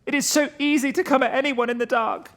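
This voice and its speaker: A man's voice: extremely high pitch, steady tone, fast talker, a bit bright, voice pushed up. A man voicing a nervous, childlike, frightened man who stammers and pleads in a gothic horror story. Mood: panicked pleading. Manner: pleading, stammering, repeats himself. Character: terrified nervous man, childlike in his fear of the dark.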